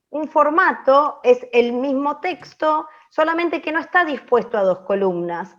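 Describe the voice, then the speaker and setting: A high-voiced Argentine woman explains like a teacher, searching for words and checking in with her listeners. The recording sounds slightly dull and muffled. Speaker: radio drama teacher. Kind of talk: explanatory online class, spoken off the cuff.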